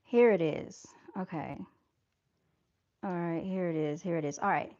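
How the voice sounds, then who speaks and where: A soft-spoken woman hosting a casual livestream, chatting to her viewers and calling them y'all. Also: on the low side, unhurried, lo-fi sound. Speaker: livestream host. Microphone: camera mic on a livestream.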